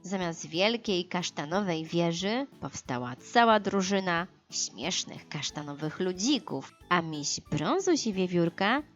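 A woman reads a children's story in a lowish, very animated voice. She takes her time over every sentence. Music plays faintly behind her. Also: dull audio. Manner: expressive, unhurried, dramatized for children. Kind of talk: scripted children's audiobook narration.

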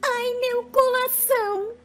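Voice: very high voice, fairly even intonation, slow. An actor voicing a bickering little child in a children's cartoon, exclaiming in a whiny, bossy way. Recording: music bed under the voices.

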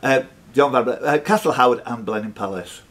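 A man's voice: medium pitch, brisk, a bit bright. A man hosting a live quiz, reading out questions with teasing, sweary banter. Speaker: quiz host.

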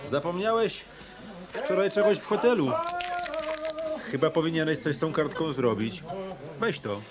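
A man's voice: a little high, lively intonation, moderate pace, a loud crowd, close to an everyday voice. A male actor playing a tough, wry hotel detective in a hard-boiled Polish radio play. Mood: firm, insistent.

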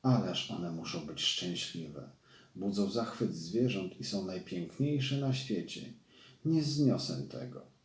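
A man voicing a vain, dreamy female crow from a storybook, with drawn-out, gushing speech. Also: low voice, lively intonation. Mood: dreamy longing. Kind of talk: storybook character voice. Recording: roomy echo.

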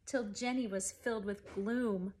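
A woman reads a picture book aloud to children in an animated, emphatic way. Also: medium pitch, a little slow, slight background noise. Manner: animated, emphatic phrasing for young listeners. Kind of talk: expressive children's story read-aloud. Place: living room at home.

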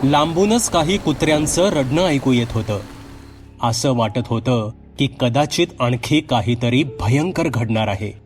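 A man's voice: mid-range voice, expressive, a little slow, soft background music. A man narrating a scripted horror story as a storyteller, in a dramatic, suspenseful manner.

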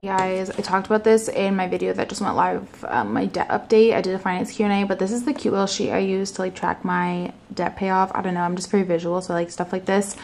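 Young woman, casual vlog talk, gravelly edges, home living room, close camera mic. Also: medium pitch, fairly quick, hardly any pauses, darkish tone, faint music behind. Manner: chatty, upbeat, lots of "like" and "you guys". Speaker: vlogger.

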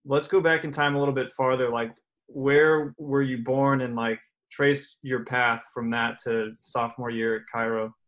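A man's voice: mid-range voice, fairly even intonation, moderate pace, fairly bright tone, muffled recording. A young man hosting a casual podcast interview, asking questions in an easygoing way full of "like". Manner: easygoing, filler-heavy questions. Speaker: podcast host.

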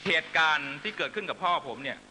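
A middle-aged man giving an impassioned political rally speech in emphatic, short measured phrases into a stage microphone. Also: fairly high pitch, expressive, bright, forceful tone. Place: large night-time outdoor rally stage. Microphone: stand microphone on stage. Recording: noisy live rally public-address broadcast with hum.

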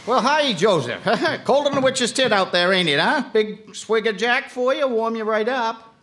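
A man's voice: very high-pitched, lively intonation, a little slow, noisy background. A man playing a plainspoken small-town local, narrating a live audio drama in a folksy, colloquial way. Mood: boisterous.

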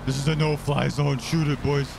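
A man's voice: fairly high pitch, level tone, moderate pace, vocal fry. A man gaming with a team in online roleplay, talking in urgent, repeated short phrases. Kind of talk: excited in-game team chatter. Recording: noisy game voice chat, vehicle noise behind.

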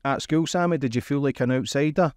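A man's voice: mid-range voice, fairly quick, a little mellow, clear, resonant tone, dry recording. Upbeat male podcast host. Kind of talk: interview hosting.

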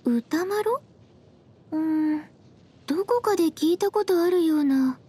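A woman playing a composed, quietly teasing young female traveler in an anime game, speaking politely and matter-of-factly. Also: extremely high pitch, animated, a little slow. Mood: curious, doubting.

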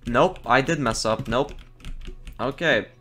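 A young man casually commentating his own game stream, excitable, laughing often and groaning at his fails. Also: mid-range voice, slow, faint music behind. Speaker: gaming streamer.

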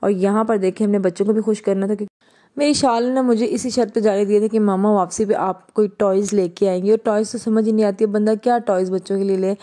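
A woman's voice: medium pitch, fairly even intonation, fast, mellow. A young woman from Pakistan, chatting casually as she narrates her own vlog. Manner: chatty, conversational, laughs while talking. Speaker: vlogger.

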